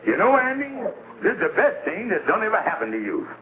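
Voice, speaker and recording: An actor playing an excitable, scheming middle-aged man with an American accent, in folksy, broad comic 1950s sitcom style. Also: high voice, quick pace. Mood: wry, animated. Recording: scratchy, tinny old TV soundtrack, heavy noise.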